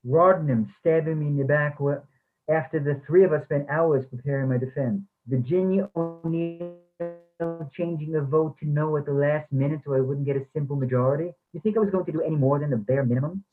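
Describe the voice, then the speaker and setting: A man's voice: on the high side, a little fast, soft background music, close to an everyday voice. A man reading the part of a formal, defensive former English instructor in a play. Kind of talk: readers theatre play reading. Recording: thin, phone-like sound.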